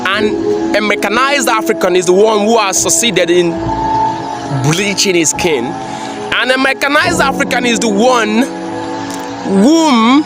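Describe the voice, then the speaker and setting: A man's voice: high voice, animated, measured pace, long pauses, loud music behind the voice. A man giving a preachy, emphatic reaction commentary, repeating phrases for effect. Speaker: commentary vlogger.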